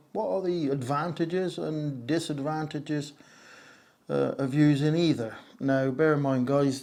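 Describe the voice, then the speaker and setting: A man speaks casually to camera, a little hoarse after a cold. He rambles and wanders with plenty of "uh" and "so" and pokes fun at his own jokes. His voice is caught on a wireless lapel mic in a glass conservatory with a touch of echo. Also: mid-range voice, a little mellow. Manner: rambling, many "uh" and "so" fillers, self-mocking jokes. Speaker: video creator explaining audio gear. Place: conservatory used as a home studio, a little echoey.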